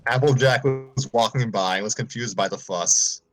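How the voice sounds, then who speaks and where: A man's voice: medium pitch, moderate pace, faint music behind, low-quality recording. A man reading fan fiction aloud on a live stream, breaking off for comic asides.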